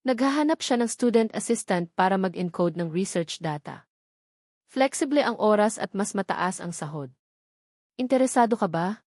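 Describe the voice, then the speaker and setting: A woman's voice: medium pitch, animated, moderate pace, darkish tone, dry recording, clean studio sound, natural voice. A Filipino woman voicing an earnest, protective young man in a romance audio drama. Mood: helpful. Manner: earnest, firm.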